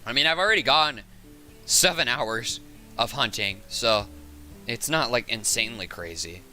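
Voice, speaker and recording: Raspy, bright-voiced man streaming a game. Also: medium pitch, moderate pace, faint music behind. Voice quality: raspy, sore voice. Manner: rambling, thinking aloud. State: hoarse from hours of talking. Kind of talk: casual livestream chat while gaming.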